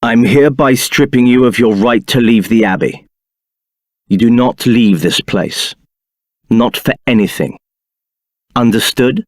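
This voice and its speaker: A cold bossy templar captain in a game, sneery and clipped, voice swings up and down a lot, dry sound. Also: male voice, fairly low pitch, no room sound. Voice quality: haughty, clipped, sneering delivery. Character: arrogant, contemptuous male templar captain, cold and domineering. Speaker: game character voice. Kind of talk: video game voice acting.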